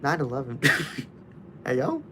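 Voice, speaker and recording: A man's voice: mid-range voice, wildly swooping pitch, measured pace, a little hiss or hum. A nineteen-year-old man casually chatting with his livestream viewers, rambling loosely with many restarts.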